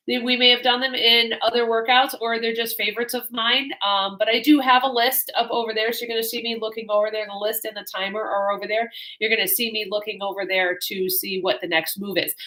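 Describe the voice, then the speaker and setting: A woman fitness coach with a bright, ringing voice, a little congested today. She chats in a friendly, rambling way with plenty of ums and uhs, recorded in a home exercise space on the camera mic. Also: medium pitch, rough recording. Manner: friendly, rambling, many fillers. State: slightly congested. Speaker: fitness instructor. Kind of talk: chatty class introduction.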